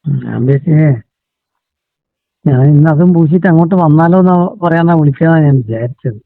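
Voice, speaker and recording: A man chatting casually and intimately on a phone call, with a husky voice. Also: a little high, normal speed. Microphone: telephone call. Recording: tinny phone call, echoey.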